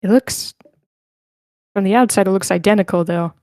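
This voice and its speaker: A woman with a clear, smooth voice hosts an online read-through. She talks casually and informally, apologetic in manner. The sound is dry and close, with no room around it. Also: medium pitch, normal speed, a little mellow, no echo. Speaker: host of an online screenplay table read. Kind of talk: casual online meeting chat.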